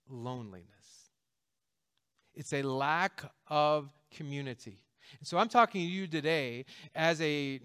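A man's voice: high-pitched, a bit bright, clean tone. A man in his fifties, a pastor delivering a conversational sermon with jokes and emphatic stresses. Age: 50s.

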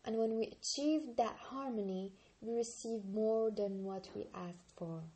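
A woman in her twenties from Algeria, an Arabic speaker, reading a book aloud slowly and carefully on her channel. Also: on the high side, mellow, poor audio. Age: 20s. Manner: slow, careful reading with occasional slips and restarts.

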